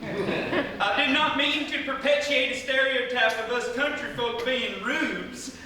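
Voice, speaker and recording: A man acts out a folksy country farmhand in a radio comedy. The voice is very high and bright, and he rambles in a halting, colloquial way. It is picked up from a distance in a very echoey theatre. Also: exaggerated voice. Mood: sheepish, rambling. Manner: colloquial, halting, long-winded. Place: live theatre stage before an audience. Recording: echoey theatre stage, distant mic, faint noise.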